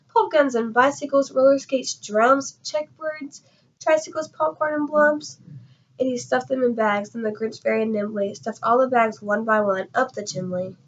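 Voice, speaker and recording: A woman reading a rhyming children's story aloud with expressive, dramatic, rhythmic phrasing. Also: fairly high pitch, highs cut off. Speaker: storybook reader.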